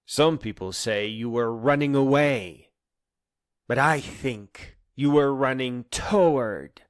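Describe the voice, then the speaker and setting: A man delivering a scripted spoken-word monologue in a solemn, deliberate way with poetic phrasing and pauses. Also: mid-range voice, no echo, clean studio sound.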